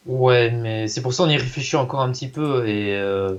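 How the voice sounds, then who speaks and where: A man's voice: mid-range voice, normal speed. A young man co-hosting a live stream in casual conversation. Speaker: streamer, co-host. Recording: slightly muffled, dull microphone.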